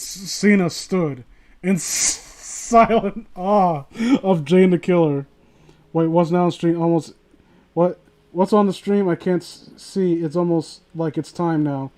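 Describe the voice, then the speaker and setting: Guy with a pretty high voice streaming, reads stuff out loud n rambles, swears, keeps breaking off. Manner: sweary, informal, rambling, often breaking off mid-sentence. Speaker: streamer reading stories aloud to viewers. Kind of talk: casual livestream reading with mocking commentary.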